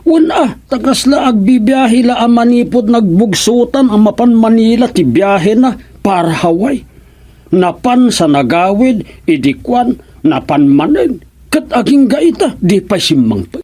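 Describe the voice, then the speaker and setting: High, swooping, nosy village councilman. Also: expressive, unhurried, darkish tone. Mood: inquisitive. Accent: Ilocano. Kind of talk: Ilocano radio comedy drama dialogue.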